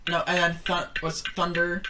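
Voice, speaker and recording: A woman's voice: lowish voice, level tone, normal speed, pushed and bright. A young adult woman co-hosting a podcast, slangy and sweary, groping for clues in a casual guessing game. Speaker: podcast co-host. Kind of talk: casual chat while playing a word guessing game. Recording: noisy room with clattering and clicks.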